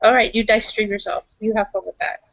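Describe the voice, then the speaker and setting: A woman's voice: mid-range voice, fairly even intonation, fast, fairly bright tone, no echo, dull audio. A woman playing a tabletop roleplaying game, speaking casually as her character and narrating the character's actions.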